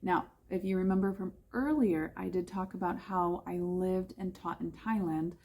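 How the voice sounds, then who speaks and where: A woman's voice: fairly low pitch, steady tone, normal speed, darkish tone, slight background noise. An American woman who teaches English, chatting casually about her life abroad and often correcting herself with fillers. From United States. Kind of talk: casual talking-head vlog.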